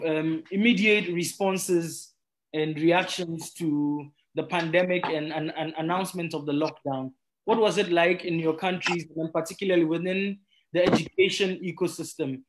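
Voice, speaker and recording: A man's voice: a little high, moderate pace, low-quality recording. A man moderates an online panel in a courteous, conversational way, inviting speakers and posing questions. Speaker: webinar moderator.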